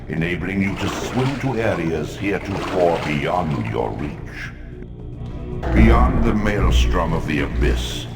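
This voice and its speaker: A commanding ancient elder god, solemn and ominous, who speaks in sweeping declamations. His very deep, gravelly voice swells and falls widely, wrapped in a booming, roomy reverb. Game music plays behind him. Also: exaggerated voice. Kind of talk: video game voice acting and narration.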